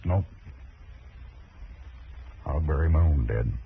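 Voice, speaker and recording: A man's voice: rumbling low, slow pace, heavily acted. An American actor playing a stern, middle-aged rancher father in a radio western, terse and blunt. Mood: grimly resolute. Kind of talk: 1950s radio western acting. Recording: tinny, faintly noisy old radio broadcast.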